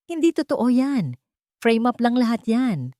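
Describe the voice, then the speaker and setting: A Filipino-accented woman voicing a furious, desperate scheming mistress in an audio drama story, in shrill accusing outbursts. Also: sing-song, measured pace, dark tone, dry recording, clean studio sound. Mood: indignant, desperate.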